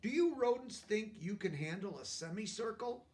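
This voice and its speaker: A man's voice: high voice, lively intonation, moderate pace, a little mellow, far from the mic. A man voicing a cool, friendly mouse schoolteacher in a storybook, playful yet composed as he addresses pupils. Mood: jaunty.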